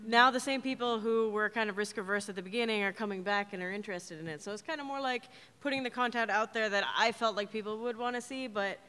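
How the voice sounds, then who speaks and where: A Canadian-born woman filmmaker talking casually on a panel, wry and relaxed. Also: mid-range voice, clear, resonant tone. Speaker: film director and producer. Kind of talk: panel talk. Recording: reverberant hall.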